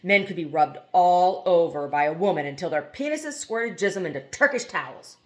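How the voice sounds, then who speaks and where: A woman's voice: fairly low pitch, expressive, moderate pace. A woman reading a novel aloud as scripted narration, now and then stumbling over a word. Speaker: amateur audiobook reader.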